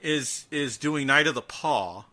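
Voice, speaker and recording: A man's voice: medium pitch, animated, measured pace, pushed and bright. A man hosting a casual podcast chat, joking at his own expense and swearing freely. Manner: jokey, self-mocking, swears freely. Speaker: podcast host. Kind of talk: casual profane podcast chat about horror.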